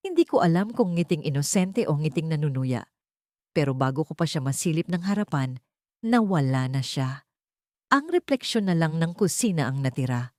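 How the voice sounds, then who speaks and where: A woman's voice: low-pitched, very animated, moderate pace, no room sound, studio quality. A woman reading a scripted first-person suspense story as its narrator.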